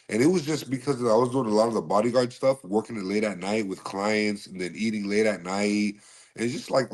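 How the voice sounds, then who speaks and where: A man from Massachusetts answering interview questions in a polite, easygoing way, often saying "yes sir" and pausing on fillers. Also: low-pitched. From Massachusetts, USA. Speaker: podcast guest, slap fighter and bodyguard.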